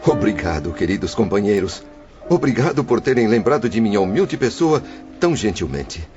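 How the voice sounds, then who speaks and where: A deep-voiced, humble, suffering soul. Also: male voice, big pitch swings, normal speed. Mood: moved, grateful. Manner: humble, emotional, formal. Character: humble, suffering former wine merchant, deeply moved. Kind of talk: audio drama acting. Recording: muffled audio under prominent music.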